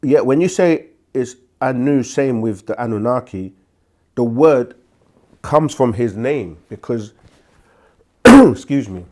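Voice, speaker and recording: A man hosting a live question-and-answer stream, speaking with a sore throat and a congested voice, deliberate and full of restarts. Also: mid-range voice, lively intonation, darkish tone. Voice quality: slow, deliberate delivery with frequent restarts. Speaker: host answering viewer questions. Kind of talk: livestream Q&A teaching.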